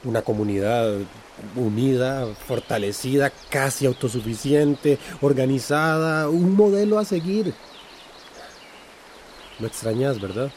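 An actor playing a steady, caring community activist in a radio drama, earnest, with a Central American accent. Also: male voice, mid-range voice, a little slow, a little hiss or hum, lightly acted. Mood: tender, reassuring.

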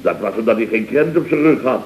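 An actor playing an excitable, self-important boss of a small building firm in a vintage radio comedy, blustery and exclamatory. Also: male voice, medium pitch, fast talker, lightly acted. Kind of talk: 1970s radio comedy acting. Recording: muffled, noisy, echoey vintage radio recording.

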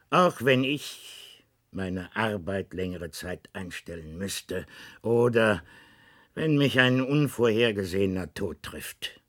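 A man acting in a science fiction radio play as the grave, lonely president of an underground state, speaking in a formal, measured, solemn way with weighted pauses. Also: fairly low pitch, fairly bright tone, heavily acted.

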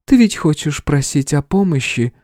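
A man voicing a gentle, coolly condescending goddess of mercy with a tender, measured female voice in an audiobook. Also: a little high, very animated, no room sound, studio quality, voice pushed up.

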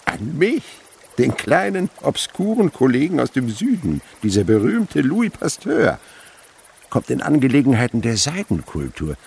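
An actor playing an excitable middle-aged scientist in a radio drama, proud and fatherly, muttering and repeating himself. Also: medium pitch, measured pace, creaky, slight background noise, heavily acted. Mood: astonished, agitated.

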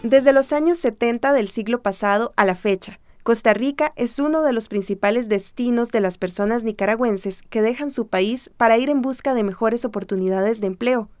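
A woman's voice: a little high, normal speed, hardly any pauses, a little mellow, no echo, soft background music. A woman reading scripted narration for a radio news programme.

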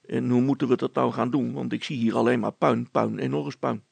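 Male reader doing a plain-spoken amateur inventor, storybook, worried, sceptical, colloquial grumble, gravelly, dry sound. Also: mid-range voice, steady tone, a little fast, a little mellow, dry recording. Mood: doubtful, grumbling.

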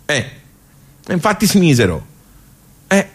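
A man's voice: fairly high pitch, wildly swooping pitch, a little slow, slightly rough, heavily acted. A man acting a radio monologue as a wry, rueful, talkative social club regular, with a Tuscan accent and a rambling, colloquial way of asking and answering himself. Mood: rueful, indignant. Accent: Tuscan Italian.

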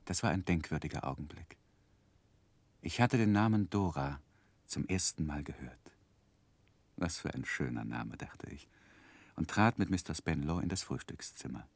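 An actor playing an earnest, romantic gentleman narrating his own youth in measured, literary for a 1960s radio drama. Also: extremely low pitch, expressive, vocal fry, no echo, exaggerated voice. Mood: rapt, lovestruck. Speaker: narrator and main character. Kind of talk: dramatic first-person narration, 1960s German radio play.

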